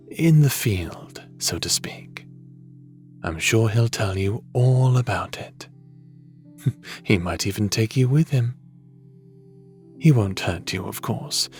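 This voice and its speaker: A man plays a calm god of the underworld in an ASMR fantasy roleplay. He speaks slowly in a low voice, measured and gently teasing, fond of the one he addresses. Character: calm, affectionate male god of the underworld, brother of Poseidon.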